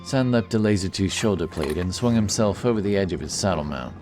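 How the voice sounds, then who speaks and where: A man narrating a scripted fantasy audiobook with a measured, dramatic storytelling manner. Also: low-pitched, lively intonation. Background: music.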